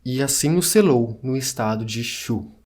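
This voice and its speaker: A man playing a solemn chronicler, narrating ancient legends in a measured, scripted way for an audio drama. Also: medium pitch, lively intonation, darkish tone, lightly acted. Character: solemn male chronicler reading ancient myths.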